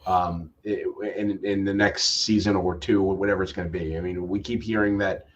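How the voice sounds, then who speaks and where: A man's voice: low voice, fairly even intonation, moderate pace, highs cut off, slight background noise. A man co-hosting a casual show review, talking hesitantly with many stammered restarts.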